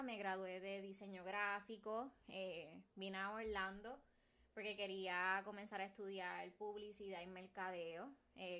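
A woman's voice: mid-range voice, pushed and bright. A woman in her twenties with a Puerto Rican Spanish accent, co-hosting a casual podcast chat, laughing often and mixing in English words. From Puerto Rico. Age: 20s. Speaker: podcast co-host.

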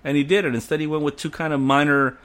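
A man's voice: a little high, fairly even intonation, quick pace, fairly bright tone. A man co-hosting a casual film discussion, blunt and opinionated, often laughing. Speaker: podcast co-host.

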